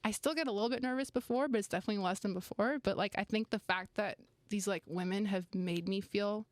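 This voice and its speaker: A chatty young woman whose first language is Romanian, filling her talk with "like" as she answers questions. Her voice sits at a middling pitch, recorded close and dry with no room sound. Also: fast, dry recording. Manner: chatty, many "like" fillers. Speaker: sports media guest. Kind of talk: casual interview chat.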